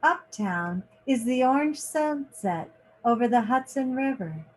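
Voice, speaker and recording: A woman reading a children's picture book aloud in short, deliberate phrases, like a teacher. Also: on the high side, lively intonation, low-quality recording.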